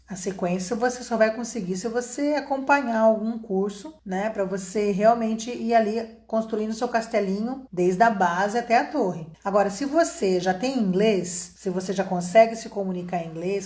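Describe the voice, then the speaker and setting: A woman's voice: medium pitch, reverberant. A Brazilian woman teaching English to adult learners in a casual, chatty video lesson, often turning to her viewers directly. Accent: Brazilian Portuguese. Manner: chatty, animated, addresses viewers as "gente".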